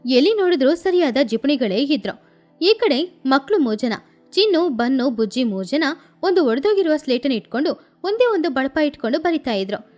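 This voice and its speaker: A woman narrating a children's story in an animated storytelling style. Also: sits high, moderate pace, faint music behind.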